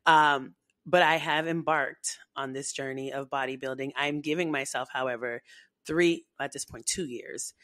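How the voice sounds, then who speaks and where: A young woman from the United States, a podcast guest, talking in a chatty, animated way and laughing often. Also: extremely low pitch, moderate pace, no echo. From United States, Haitian family. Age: young adult. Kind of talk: casual podcast interview.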